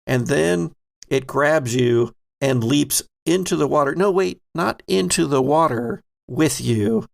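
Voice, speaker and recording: A man running a tabletop horror game as game master, narrating dramatically in deliberate short phrases with pauses. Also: fairly high pitch, gravelly.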